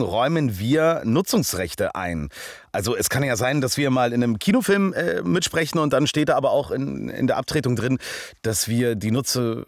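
A man's voice: mid-range voice, dry recording, studio quality. A man in a casual group discussion, explaining things hesitantly and agreeing readily as a voice actor.

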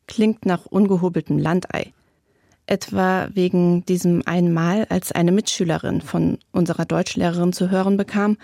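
A woman reading her own radio commentary in a measured, reflective and lightly ironic way. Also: lowish voice, vocal fry, dark tone, no echo. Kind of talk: scripted radio essay. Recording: dry studio recording.

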